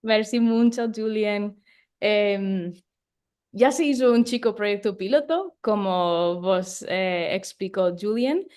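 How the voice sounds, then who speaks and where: A woman's voice: mid-range voice, slow, darkish tone, clean tone. Woman explaining a project, with fillers. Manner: explanatory, with frequent hesitation fillers. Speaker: project leader and co-presenter. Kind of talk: online talk presentation.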